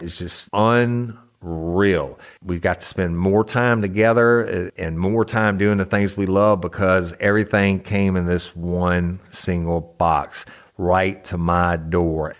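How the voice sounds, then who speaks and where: A man hosting a podcast reads a sponsor ad in a gushing, hyped-up way. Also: on the low side. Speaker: podcast host reading an ad. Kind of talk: enthusiastic host-read ad.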